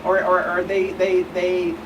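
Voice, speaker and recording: A woman speaking as an expert guest on a panel, deliberate and emphatic, repeating phrases for stress. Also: on the low side, monotone, dull audio. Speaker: guest expert, attorney and pastor. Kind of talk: panel discussion, earnest explanation. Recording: distant mic, clearly noisy room hum.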